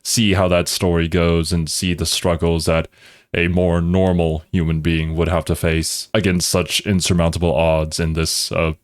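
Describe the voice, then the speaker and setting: A man's voice: low voice, level tone, moderate pace, no room sound, clean studio sound. A man who natively speaks Thai, reacting as a video host with casual, musing, rambling commentary.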